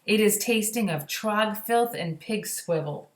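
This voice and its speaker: A man's voice: high voice, a little slow, voice pushed up. A woman voicing a kindly, earnest male storybook giant who speaks emphatically in jumbled, ungrammatical phrases. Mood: excited, scornful. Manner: mangled grammar, emphatic. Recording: roomy echo.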